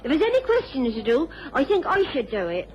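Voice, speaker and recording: A woman's voice: sits high, wildly swooping pitch, fast talker. A woman playing an outspoken, protective village inn woman in a radio drama. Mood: indignant. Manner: forthright, protective. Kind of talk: BBC radio drama acting. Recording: muffled old radio recording.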